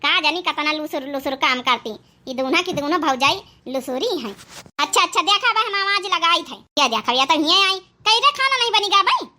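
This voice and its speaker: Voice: extremely high pitch, expressive, a little fast, pushed and bright, exaggerated voice. A performer voicing an elderly, grumbling village aunt in a comic cartoon family drama, fussy and plain-spoken, with an Awadhi accent. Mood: nagging.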